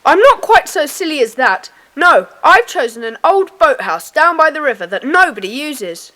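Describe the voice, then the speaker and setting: A woman's voice: sits high, sing-song, normal speed, bright, forceful tone, full character voice. A woman playing a confident schoolboy in a children's audio drama. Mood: indignant, proud.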